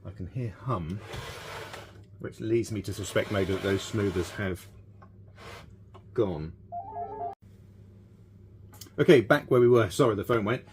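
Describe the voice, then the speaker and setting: British guy doing a casual repair demo at his bench, lowish voice, chatty and rambling, noisy room. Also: a little slow, pauses often. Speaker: vintage radio repairer presenting a video. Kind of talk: casual hands-on workshop demonstration. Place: small cluttered electronics workshop, indoors. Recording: clearly noisy workshop with background ambience.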